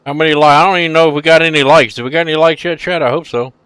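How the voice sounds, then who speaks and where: A middle-aged man streaming casually, chatting with viewers in a laid-back, rambling way and letting phrases trail off quietly. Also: a little high, fairly even intonation, very fast, clear, resonant tone, dry recording. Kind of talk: casual live-stream chat.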